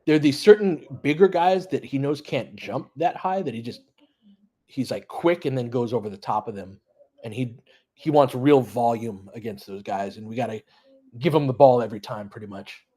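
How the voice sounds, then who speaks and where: A man with a mid-pitched, somewhat husky voice hosts a live basketball stream. He talks casually and analytically, moving between calling the action and chatting informally with his listeners. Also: normal speed, warm tone. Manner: conversational, analytical, informal with mild swearing. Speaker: host of a fan audio play-by-play stream.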